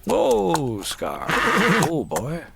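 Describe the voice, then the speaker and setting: Brief: male actor as a laid-back Texas cowboy drifter with a slow drawl; clear background hiss. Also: a little high, wildly swooping pitch, heavily acted. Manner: slow easygoing drawl with stammers. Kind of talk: comedy radio drama acting. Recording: hissy background noise.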